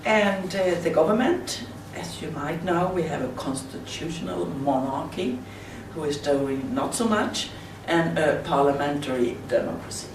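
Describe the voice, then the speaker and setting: A Swedish woman with a Swedish accent, speaking halting non-native English in a slide presentation at a conference. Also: low voice, animated. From Sweden. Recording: roomy, distant mic with faint hiss.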